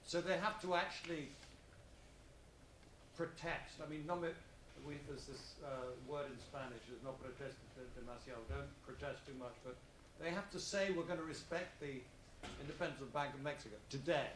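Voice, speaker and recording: A man's voice: on the high side, moderate pace, fairly bright tone, slight background noise. Older accented man moderating a conference panel, digressive, in an echoey hall. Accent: non-native English, foreign-accented. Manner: rambling, digressive, full of asides. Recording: roomy conference hall with faint ambience.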